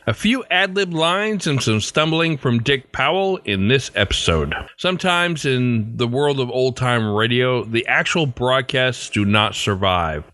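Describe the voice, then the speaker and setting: A man's voice: mid-range voice, bright, forceful tone, no echo. A man hosting a podcast, reading a scripted outro to listeners. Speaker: podcast host.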